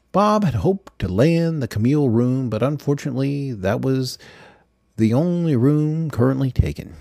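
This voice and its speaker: A man reads fiction aloud as a scripted audiobook narrator, in a measured way with the odd stumble. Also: medium pitch, vocal fry, warm tone, no echo, studio quality.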